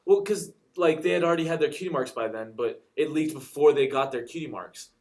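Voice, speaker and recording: A man co-hosting a casual podcast, with a naturally loud voice. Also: a little high, brisk. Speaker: podcast co-host. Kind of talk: casual chat.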